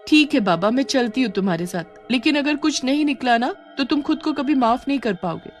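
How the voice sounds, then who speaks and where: A woman's voice: high voice, expressive, a little fast, faint music behind, pitched above the performer's own voice. A woman playing a cautious young schoolgirl in a horror story, with a questioning, fretful manner. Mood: reluctant, questioning. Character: cautious, doubtful young schoolgirl, easily frightened.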